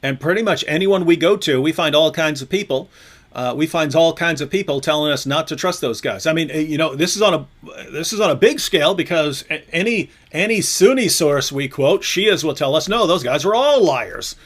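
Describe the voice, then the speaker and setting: A man with a fairly high voice argues his point on a talk show. He speaks in an animated, challenging way and slips into mocking impressions of others. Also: fairly quick. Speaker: co-host. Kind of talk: debate-style talk show.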